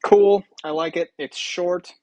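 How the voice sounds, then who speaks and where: A man's voice: sits high, fairly even intonation, slow, a little mellow, dry recording, lo-fi sound. A man hosting a game show with casual, improvised commentary in a rambling, offhand way.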